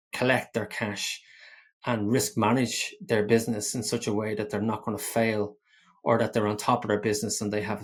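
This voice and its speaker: A man from Ireland hosting a podcast interview, introducing his guest in long, steady sentences. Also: lowish voice. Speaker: podcast host.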